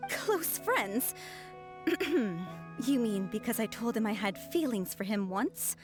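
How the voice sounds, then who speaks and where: A woman's voice: fairly high pitch, big pitch swings, slow, music in the background. A woman playing a lovelorn young female researcher, bitter, defensive and indignant, in a video game. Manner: indignant, emphatic.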